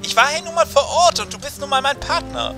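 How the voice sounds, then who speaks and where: A brazen, scheming cop in an audio drama, played by a man, casual and insistent. The voice is high for a man and very lively in pitch, with music and rain behind it. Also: fairly quick, heavily acted. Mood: apologetic. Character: brazen, bored, scheming male police detective, the partner. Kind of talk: German audio drama acting.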